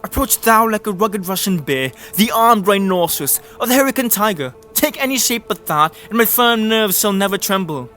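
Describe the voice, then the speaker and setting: A man's voice: high voice, lively intonation, moderate pace, hardly any pauses, bright, forceful tone, soft background music. An actor playing a guilt-haunted, raving king in a dramatic reading of Shakespeare. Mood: agitated, defiant.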